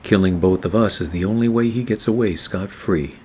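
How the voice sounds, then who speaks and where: A man playing a weary, wry private eye, narrating a noir radio play in a sardonic, measured way. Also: low voice, a little mellow, slight background noise, natural voice. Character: weary, wry hard-boiled male private eye narrating his case.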